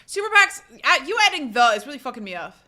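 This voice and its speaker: A young woman streamer chatting casually and reading live comments, swearing freely and restarting her sentences. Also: fast. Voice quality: says her voice is fairly low and relaxed when tired.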